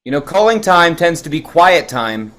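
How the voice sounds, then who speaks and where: A man's voice: fairly high pitch, sing-song, moderate pace, fairly bright tone. A man playing a blunt, sardonic office worker in a script table read, with a wry way of talking. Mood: sardonic, impatient.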